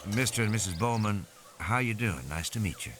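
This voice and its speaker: A man's voice: medium pitch, very animated, moderate pace, background noise, voice pushed up, lightly acted. Man playing an assured, friendly American field biologist. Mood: assured, easygoing.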